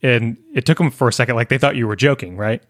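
A man hosting a podcast, asking quick conversational questions and recapping the guest's story. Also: medium pitch, dry recording, studio quality. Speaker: podcast host. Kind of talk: casual interview questions.

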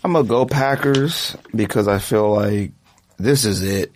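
A man co-hosting a podcast, talking football picks in a slangy, joking, animated way. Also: on the low side, unhurried, a little hiss or hum. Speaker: podcast co-host. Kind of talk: casual sports chat.

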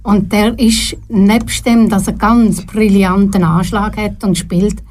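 Older Swiss German woman, mellow voice. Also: medium pitch, fairly even intonation. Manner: thoughtful, pauses often to search for words. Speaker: guest, retired TV presenter. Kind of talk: relaxed radio studio interview. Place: radio studio.

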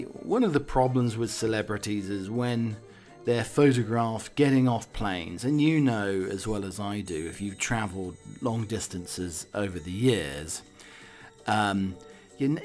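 A man hosting a comic talk on the week's news, wry and digressive, with hesitant asides. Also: lowish voice. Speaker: podcast host.

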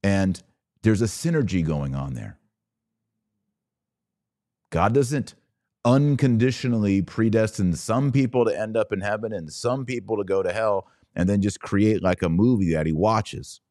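Slow, low-pitched man preaching emphatically. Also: animated, a little mellow. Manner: blunt, informal. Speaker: podcast host. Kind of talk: religious commentary monologue.

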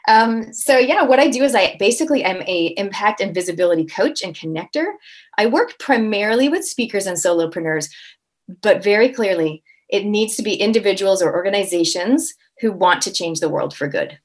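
A woman's voice: medium pitch, animated, a little fast. A middle-aged woman from Canada with a Canadian accent, a guest talking casually in an interview, upbeat and full of fillers and restarts. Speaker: guest, impact coach.

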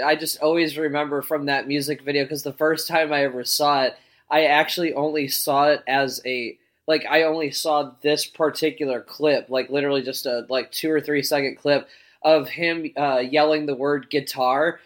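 A man's voice: fairly high pitch, steady tone, normal speed, a bit bright. A man chatting on a podcast, rambling through memories with plenty of fillers. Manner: rambling, many fillers and restarts. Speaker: podcast co-host. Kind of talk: casual podcast chat.

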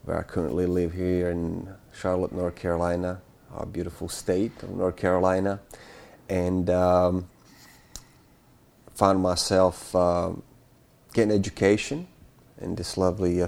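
A man from Bosnia, around forty, recalling his childhood as an interview guest, speaking haltingly with many fillers and restarts. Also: low-pitched, creaky, dark tone. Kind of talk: casual spoken recollection.

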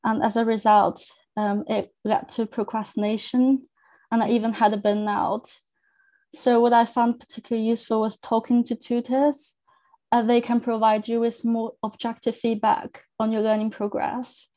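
A young woman from China whose first language is Chinese, speaking earnestly as a guest on a podcast interview, with thoughtful pauses and restarts. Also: a little high, level tone, warm tone. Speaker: academic coach, guest.

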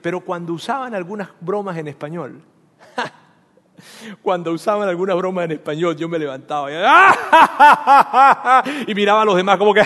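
Male preacher, light high voice, playful storyteller, reverberant hall. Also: sharp tone. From Venezuela. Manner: storytelling with humor, asks the audience questions. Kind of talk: sermon.

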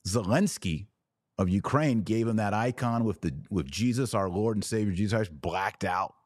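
A man's voice: lowish voice, moderate pace, a little mellow, no room sound. A man hosting a podcast, giving casual religious commentary in a colloquial, musing way with punchy, repeated short phrases. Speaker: podcast host.

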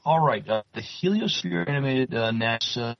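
A man co-hosting a podcast, reading news and chatting, with halting delivery when reading aloud. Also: medium pitch, lively intonation, creaky. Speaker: podcast co-host. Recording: muffled, dull lo-fi audio.

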